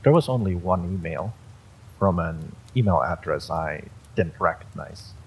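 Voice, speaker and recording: Guy reading a creepy story, real deep and slow, bit of noise behind him. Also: low-quality recording. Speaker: story reader. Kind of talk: dramatic first-person horror story narration.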